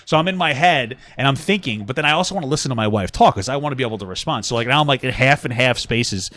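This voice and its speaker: A young man co-hosting a casual couple podcast at home, rambling and profane, often dropping into mocking high-pitched voices. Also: expressive, fast, sharp tone. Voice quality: smooth voice. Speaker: podcast co-host. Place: home room with a fan.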